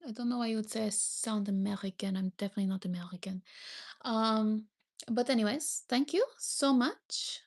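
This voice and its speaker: A woman's voice: mid-range voice, moderate pace. A young woman chatting casually on a live stream, reading viewer comments and thanking them often, with frequent hesitations. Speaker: livestreamer.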